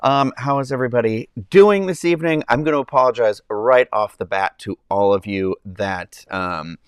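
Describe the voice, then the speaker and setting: A man hosts a relaxed live stream from home, talking in a nasal, congested voice from a summer cold. He rambles with frequent ums and restarts while answering his chat. His voice is smooth and clear, recorded dry and close on a clip-on mic. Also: medium pitch, normal speed, no room sound. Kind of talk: casual chat with live chat viewers. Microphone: clip-on mic under his shirt.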